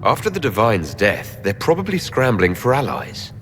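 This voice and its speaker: An actor playing a pragmatic, questioning adventurer in a fantasy video game, with hall reverb. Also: male voice, mid-range voice, sing-song, moderate pace, fairly bright tone, faint music behind. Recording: echoing hall, faint background noise.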